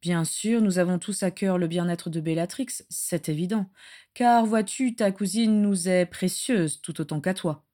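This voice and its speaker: A woman voicing a condescending male dark lord who speaks softly and slowly with false courtesy, in a fantasy story reading. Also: lowish voice, close to an everyday voice. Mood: mock courtesy. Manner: soft, caressing, slow, falsely courteous.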